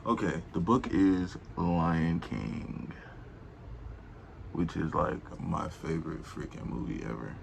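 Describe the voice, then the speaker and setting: A man with a very low, gravelly voice reads a storybook aloud at an unhurried pace. He is informal and rambling, slipping between reading and slangy asides. There is a faint noise behind him. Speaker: host reading children's books aloud.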